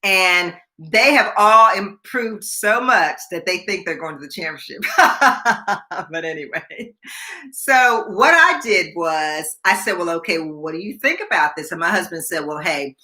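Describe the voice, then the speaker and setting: A woman's voice: on the low side, expressive, unhurried, sharp tone. A woman in her sixties hosting a casual live stream, chatting, repeating herself and reading viewer comments aloud. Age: 60s. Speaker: livestream host.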